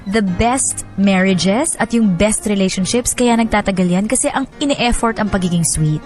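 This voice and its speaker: A woman hosting a radio show in an expressive, storytelling way. Her voice sits at a middle pitch, with faint music behind her. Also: normal speed. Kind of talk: radio advice and dramatic letter reading.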